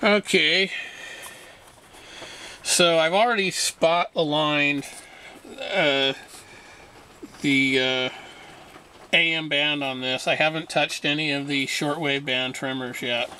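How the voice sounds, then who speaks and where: Bright-voiced man explaining slowly over noise. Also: on the high side, steady tone. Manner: halting, matter-of-fact explanation. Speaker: radio restorer demonstrating repair. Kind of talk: unscripted hands-on repair demonstration.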